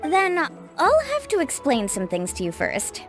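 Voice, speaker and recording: An upbeat young female guide in an anime game. Her high voice moves with lots of melody as she chats in a friendly, persuasive way. Light music plays behind her. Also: wildly swooping pitch, measured pace, heavily acted. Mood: earnest explaining. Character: upbeat young woman water-sports guide and shopkeeper. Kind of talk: scripted anime game voice acting.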